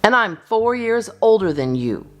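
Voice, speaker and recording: A woman's voice: on the high side, big pitch swings, unhurried, muffled recording, pitched above the performer's own voice. A woman voicing a smug, taunting boy, the rotten older brother in a storybook, with a sneering manner. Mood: jeering. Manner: jeering, sneering. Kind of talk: storybook character voice.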